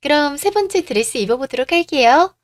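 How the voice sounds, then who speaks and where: A woman as a high-voiced, courteous shop assistant. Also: expressive, a little fast, dry recording, clean studio sound. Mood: helpful. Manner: formal, helpful. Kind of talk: Korean radio story drama.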